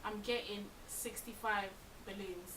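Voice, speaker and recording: A woman's voice: mid-range voice, steady tone, measured pace, echoey room. A young woman, chatting bluntly and joking with friends on a podcast. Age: 20s. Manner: blunt, joking, laid-back. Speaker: podcast co-host. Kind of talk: casual chat among friends. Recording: distant mic with hiss.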